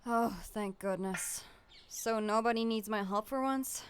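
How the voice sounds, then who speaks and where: A woman voicing an exasperated, sensible older-sister type, stern and sardonic but caring, in an animated comic dub with music behind her. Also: mid-range voice, a little slow, slight background noise, close to an everyday voice. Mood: wary.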